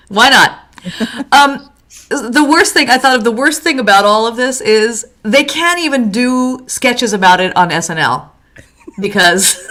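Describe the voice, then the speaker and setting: A woman born in Mexico co-hosting a casual chat show, lively and laughing often, dropping in Spanish words. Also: a little high. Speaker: co-host.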